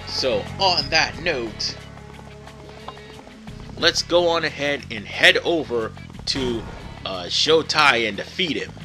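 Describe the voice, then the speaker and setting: A man's voice: medium pitch, expressive, takes it slowly, pauses often, pushed and bright. Tired guy rambling through a let's play, keeps correcting himself, voice jumps up and down a lot, game music in the back. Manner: rambling, digressive, self-correcting. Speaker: gaming video host. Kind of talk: casual let's play commentary. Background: video game music.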